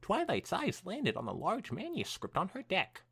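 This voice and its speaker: A young man hosting a podcast, reading fan fiction aloud in an animated way mixed with casual chat. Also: high-pitched, normal speed, gravelly, husky.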